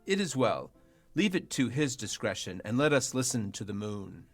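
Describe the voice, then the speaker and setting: A young man playing an amused, courtly duke in a radio play of Shakespeare, speaking in a wry, formal, measured way. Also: mid-range voice, very animated, faint music behind. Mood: wry amusement.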